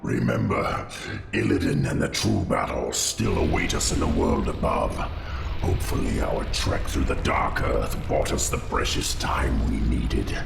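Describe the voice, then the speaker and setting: An actor playing an ancient, commanding undead lord in a fantasy game, speaking solemnly in a gravelly, cavernous voice. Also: male voice, extremely low pitch, expressive, full character voice. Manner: slow, solemn, portentous. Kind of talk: fantasy game cutscene acting. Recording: echoing voice under loud music.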